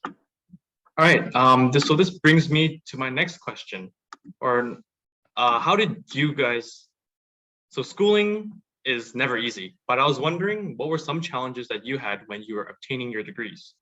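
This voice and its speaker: A man's voice: mid-range voice, expressive, normal speed, bright, forceful tone, lo-fi sound. A man hosting an informal workshop, presenting and putting questions to guests in hesitant, rambling phrasing. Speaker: workshop host and moderator.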